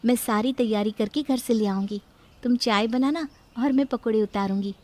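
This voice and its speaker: A woman voicing a gentle, humble young wife, polite and eager, in a cartoon moral story. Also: on the high side, moderate pace, lightly acted.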